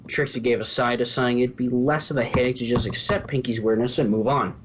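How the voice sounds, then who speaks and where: A man's voice: mid-range voice, steady tone, fairly quick. A man reading a scripted fan fiction story as its narrator. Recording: dull lo-fi, faint background noise.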